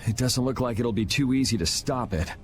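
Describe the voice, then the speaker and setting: A man's voice: mid-range voice, a little fast, soft background music, lightly acted. A man playing a laid-back, sardonic young swordsman in a video game, with a dry, casual delivery. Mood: unbothered. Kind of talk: video game dub acting.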